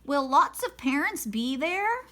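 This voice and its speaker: A woman's voice: sits high, big pitch swings, unhurried, sharp tone, voice pushed up, exaggerated voice. A woman doing a shy, timid young boy cat. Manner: timid, hesitant.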